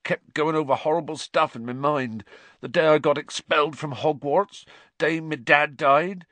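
A man voicing a huge, tearful male groundskeeper who talks in a rough dialect. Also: moderate pace, a bit bright, no echo, lightly acted. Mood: haunted. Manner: rough dialect speech, low and mournful.

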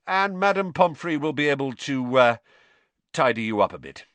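A vain male wizard teacher, put on by a man reading aloud talks in a high, airy bluster and brushes off his own mistakes. The reading sounds dry and close, with lively swings in pitch. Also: moderate pace, no room sound. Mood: breezy bluster. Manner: airy, glossing over a blunder.

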